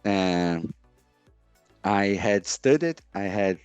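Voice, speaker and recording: Low-voiced Brazilian man, halting English. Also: expressive, smooth, dry recording, soft background music. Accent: Brazilian Portuguese. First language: Portuguese. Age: middle-aged. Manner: halting, searching for words in a second language. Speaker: guest, sports lawyer. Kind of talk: podcast interview.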